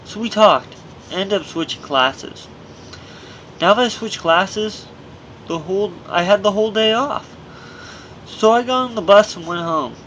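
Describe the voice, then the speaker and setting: Higher-pitched man narrating, noisy recording. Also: unhurried, long pauses, fairly bright tone, muffled recording. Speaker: author reading own memoir. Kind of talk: scripted audiobook narration.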